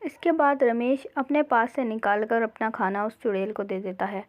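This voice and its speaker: A woman's voice: a little high, steady tone, normal speed. A woman storyteller reading scripted narration for a cartoon village tale. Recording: slightly dull, lo-fi sound.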